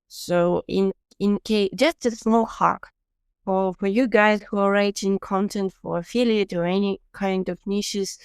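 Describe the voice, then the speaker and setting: Ukrainian-accented woman with a smooth voice. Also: mid-range voice, fairly even intonation, measured pace, no room sound. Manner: earnest, explanatory, laughs easily. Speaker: podcast host. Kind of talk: casual podcast interview.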